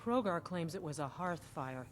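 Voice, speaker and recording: An actress playing a plain-spoken, gossipy innkeeper in a fantasy game, talking in a frank, folksy way. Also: female voice, sits low, expressive, normal speed, slight background noise.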